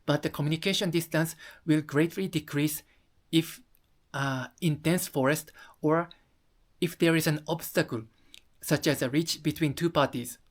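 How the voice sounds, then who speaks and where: A presenter explaining things to viewers in halting, careful English with non-native phrasing. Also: medium pitch, steady tone. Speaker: video presenter.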